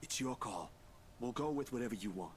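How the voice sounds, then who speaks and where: An actor voicing an easygoing young man who believes in ghosts, in a visual novel game, speaking in a gentle, laid-back and accommodating way. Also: mid-range voice, lively intonation, a little fast, a little hiss or hum, close to an everyday voice.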